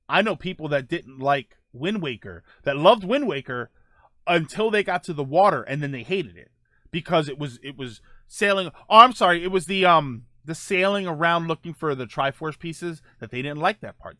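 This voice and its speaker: A man's voice: on the high side, sharp tone, no room sound, studio quality. A man hosting a gaming livestream, talking casually and answering viewer comments, often rephrasing his points as he goes. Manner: halting, circles back and rephrases points.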